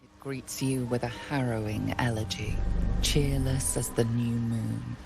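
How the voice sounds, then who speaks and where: A woman narrating a dark fantasy game as a grave, poetic storyteller with measured, literary phrasing. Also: a bass voice, steady tone, vocal fry, loud music behind the voice, exaggerated voice. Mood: hushed, somber.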